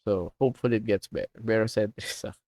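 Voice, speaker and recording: A man's voice: fairly low pitch, steady tone, fast, dark tone, no room sound. A man with a Filipino accent, chatting casually on a livestream as a comic artist and rambling as he switches between English and Tagalog. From Philippines.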